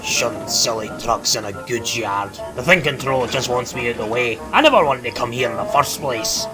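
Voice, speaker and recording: A man's voice: mid-range voice, brisk, lightly acted. An actor playing a proud, grumbling male narrow-gauge steam engine, complaining indignantly in an audio drama. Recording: music under the voice.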